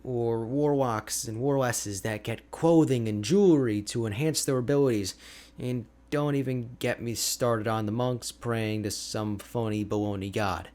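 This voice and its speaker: A man playing an energetic, boastful, chatty ice mage hermit in an ASMR roleplay, with a playful, expressive, conversational delivery. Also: mid-range voice, unhurried. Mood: chatty, opinionated. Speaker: audio roleplay voice actor.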